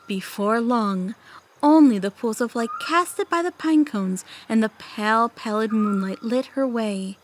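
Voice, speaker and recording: A young woman acting a calm, mysterious big sister telling tales in a measured way in an audio play. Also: a little high, a little hiss or hum, lightly acted. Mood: eerie suspense. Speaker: storyteller. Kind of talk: dramatic storytelling in an audio play.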